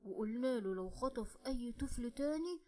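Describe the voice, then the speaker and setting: A woman voicing a stern, good-hearted male monster with an Egyptian accent, speaking low and firm. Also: lively intonation, normal speed, dark tone, lightly acted. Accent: Egyptian Arabic.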